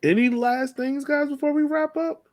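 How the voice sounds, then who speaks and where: A man's voice: very high-pitched, fairly even intonation, moderate pace. A man hosting a casual sports podcast, chatting informally and speaking straight to his co-hosts. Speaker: podcast host.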